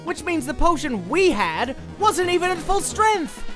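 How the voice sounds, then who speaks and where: A man as animated bookish cartoon cup brother. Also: very high-pitched, measured pace, heavily acted. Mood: eager lecturing. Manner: emphatic, rambling lecture delivery. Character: excitable bookish cup-headed cartoon brother, eager lecturer. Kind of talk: comic dub voice acting. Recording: loud music behind the voice.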